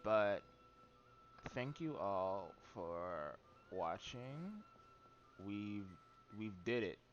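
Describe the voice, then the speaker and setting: A man giving casual, rambling livestream commentary on a game in a halting, loose way. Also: on the low side, expressive, faint music behind. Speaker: game streamer. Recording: muffled mic with background noise.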